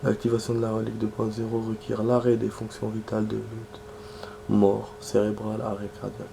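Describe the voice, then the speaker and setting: A man's voice: fairly quick, noisy background. A man with a cold, casually reading in-game text aloud and chatting while he plays. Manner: low, flat, gravelly delivery with muttered asides. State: has a cold, clearing his throat. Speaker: gaming streamer.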